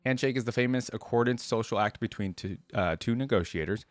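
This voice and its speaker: A man's voice: mid-range voice, fairly quick, no room sound. An American man hosting a reaction video, reading aloud and adding casual, conversational asides as he thinks aloud. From United States.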